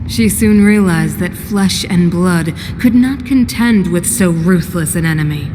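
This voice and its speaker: An actress playing a solemn, bitterly ironic mother and ruler in a video game, speaking in a grave, measured, theatrical way. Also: on the low side, lightly acted. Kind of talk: dramatic video game cutscene acting. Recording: long hall reverb, background noise.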